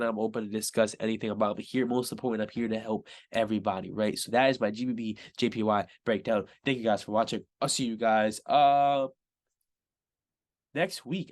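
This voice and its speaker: A young man, a little congested, casually walking through a forex chart as a trading mentor, tagging "right?" onto nearly every sentence and getting excited over setups. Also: mid-range voice. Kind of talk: screen-share chart breakdown.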